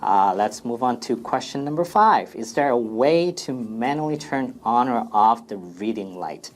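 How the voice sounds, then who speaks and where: A man's voice: mid-range voice, animated, a little slow. A man presenting an informal product FAQ demonstration in a plain, step-by-step instructional way.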